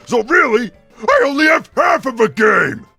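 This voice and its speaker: Big grumpy cartoon monster bully, gravelly, mocking, swoops all over the place, music behind. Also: male voice, very high-pitched, sing-song, normal speed, bright, forceful tone, raised voice, exaggerated voice. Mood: indignant ranting. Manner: blustering, mocking, theatrical. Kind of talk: cartoon fan-dub voice acting.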